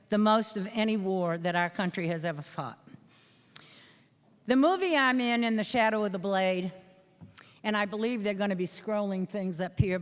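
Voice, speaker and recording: Older lady w new england accent giving a speech, earnest and emphatic, smooth clear voice, big echoey hall. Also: mid-range voice. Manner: earnest, emphatic, with measured pauses. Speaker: veteran guest speaker. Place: school hall or gym.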